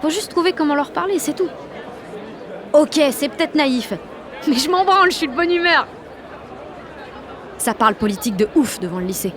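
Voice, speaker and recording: Woman playing a cocky high-voiced teenage girl activist. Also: sing-song, brisk, crowd noise. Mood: elated. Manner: slangy, brash, confiding. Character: sixteen-year-old girl, cocky, exalted, foul-mouthed young activist. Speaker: lead actor and narrator. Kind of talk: first-person narration in an audio drama.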